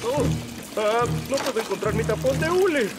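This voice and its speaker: A man's voice: very high-pitched, animated, moderate pace. A man with a Latin American Spanish accent voicing a cheerful, bumbling cartoon handyman who chats and hums to himself as he works. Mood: flustered. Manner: chatty, talks to himself, hums while working. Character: cheerful bumbling handyman Mike, middle-aged. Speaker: cartoon character. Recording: studio dub under loud music. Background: loud background music.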